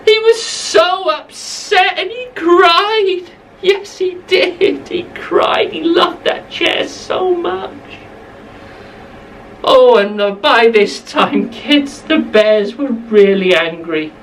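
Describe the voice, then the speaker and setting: Animated, high-voiced woman telling children's stories. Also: slow, far from the mic, a little hiss or hum, rough recording. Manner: expressive, repeats phrases for emphasis. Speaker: children's storyteller. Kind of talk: animated bedtime storytelling for young children.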